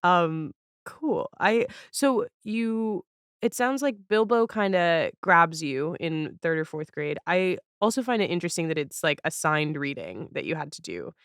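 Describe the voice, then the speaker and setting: Female podcast host. Smooth, clear mid-pitched voice, informal and reflective, dry close recording. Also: expressive, unhurried, a bit bright, dry recording. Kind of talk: casual conversational interview.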